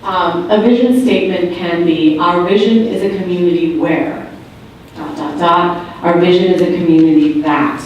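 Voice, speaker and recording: A woman with a loud voice, patiently explaining the steps of a public meeting activity. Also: lowish voice, fairly even intonation, moderate pace, highs cut off, a little hiss or hum. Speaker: meeting facilitator. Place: large meeting room. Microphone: far room recording. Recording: echoey room from far off.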